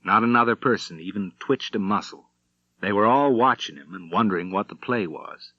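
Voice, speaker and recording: A man narrates a western audiobook in a bright, forceful voice, pitched a little low. His storytelling is measured and dramatic, recorded very dry with a slightly dull, lo-fi sound. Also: no echo. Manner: measured storytelling with acted dialogue.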